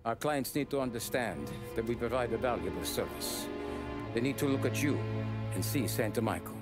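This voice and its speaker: A calm old mafia don, played by an actor, gives orders in a measured, commanding voice. Clear music plays behind him. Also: male voice, mid-range voice, close to an everyday voice. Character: calm older male mafia boss.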